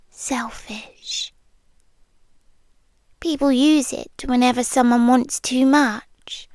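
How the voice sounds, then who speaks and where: A woman with a British accent playing a possessive, lovestruck monster girlfriend in an intimate ASMR roleplay, often dropping into breathy whispers. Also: high-pitched, no echo. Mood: brooding, possessive. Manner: slow, breathy, often whispering, intense and tender. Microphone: close ASMR microphone, dry.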